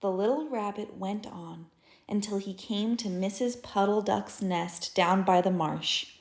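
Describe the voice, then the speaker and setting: Casting: female storybook reader, very unhurried, expressive, deliberate phrasing, recorded in an echoey room. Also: mid-range voice. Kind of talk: children's story read-aloud. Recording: roomy echo.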